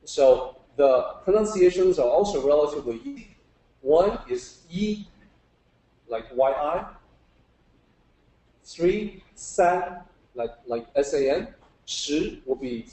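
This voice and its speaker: A man's voice: fairly high pitch, mellow. A man teaching informally to a group, explaining patiently step by step and putting questions to his listeners. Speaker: teacher giving a public talk. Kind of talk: informal lecture explaining Chinese characters. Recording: roomy, echoing live talk.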